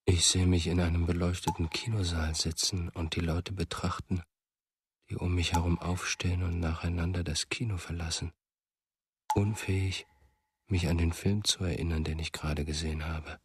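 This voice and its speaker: A haunted, introspective narrator in a radio play. His voice is extremely low and gravelly, held nearly monotone in a sombre manner. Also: normal speed, no room sound, full character voice. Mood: bleak, confessional. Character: brooding, haunted male narrator searching for a lost friend. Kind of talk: literary radio drama monologue.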